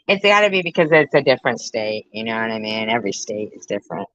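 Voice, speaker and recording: A woman's voice: very deep voice, very animated, brisk, fairly bright tone, no echo, poor audio. A woman in her fifties hosting a reaction commentary, blunt and sarcastic, often repeating herself and swearing. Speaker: commentary host.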